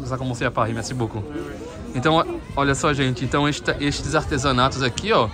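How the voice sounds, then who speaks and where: A man from Brazil with a Brazilian accent, vlogging casually as he walks an outdoor Christmas market and chats with vendors. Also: medium pitch. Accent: Brazilian Portuguese. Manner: chatty, addresses viewers often, switches to careful French with vendors. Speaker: travel vlogger. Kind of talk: casual walking vlog, chatting with vendors. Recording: busy crowd noise outdoors. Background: market crowd.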